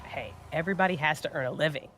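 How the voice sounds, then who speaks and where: An actress playing a brash, foul-mouthed, pushy paranormal investigator in a horror audio drama. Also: female voice, low-pitched, fast, fairly bright tone, a little hiss or hum. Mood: persuading.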